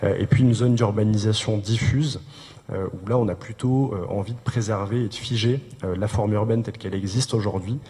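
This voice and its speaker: A man giving an explanatory, technical public presentation, speaking haltingly in short bursts. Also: lowish voice, fairly even intonation, dark tone. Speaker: urban planning presenter. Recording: reverberant hall sound.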